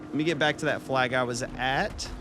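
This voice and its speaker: A man in his thirties talks casually and upbeat to his live chat while he plays, answering viewers one after another. His voice sits at an ordinary middle pitch. Game music plays clearly underneath him. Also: steady tone, brisk. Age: 30s. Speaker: gaming streamer.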